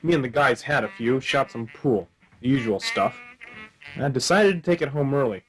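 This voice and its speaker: An actor playing a wry, hard-boiled 1940s Chicago private detective in a radio play, with a deadpan manner. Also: male voice, medium pitch, close to an everyday voice, rough recording.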